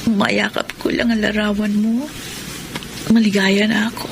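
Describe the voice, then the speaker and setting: An actress playing a lovelorn woman pining for her former lover in a Filipino radio melodrama, wistful and halting, with a Filipino accent. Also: medium pitch, flat delivery, gravelly, noisy background. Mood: wistful, yearning. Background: melodramatic background music.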